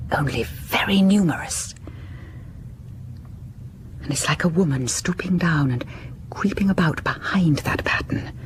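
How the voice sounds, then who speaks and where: An actress playing a secretive, obsessive confined wife sliding into madness in a gothic audio drama monologue, halting and often slipping into breathy whispers. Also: female voice, sits low. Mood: secretive, fascinated.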